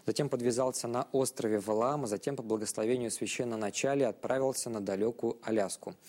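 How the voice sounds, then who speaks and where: A man presenting a scripted educational programme to viewers, speaking in a measured, formal and bookish manner. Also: medium pitch, a little mellow.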